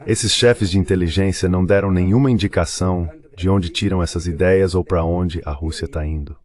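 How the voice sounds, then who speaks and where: Male interview dub, Brazilian Portuguese accent, low-pitched, measured and articulate, formal political commentary. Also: darkish tone. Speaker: dubbed translation voice of an interview guest. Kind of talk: translated political commentary, read voice-over.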